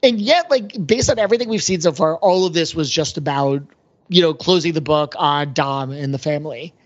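A man's voice: on the high side, brisk, lo-fi sound. A man chatting casually as a podcast panelist, speculating about films in a conversational, filler-heavy way. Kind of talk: casual film discussion.

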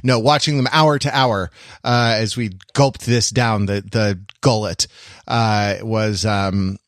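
An American man hosting a podcast in a casual, wry way, with halting, wordy, mock-formal phrasing. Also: on the low side, steady tone, dry recording, clean studio sound. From California, United States. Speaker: podcast host.

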